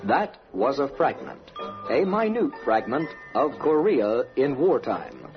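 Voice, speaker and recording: A man narrating a radio documentary from a script in a solemn, measured manner. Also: medium pitch, sing-song, over music. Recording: dull vintage radio broadcast recording.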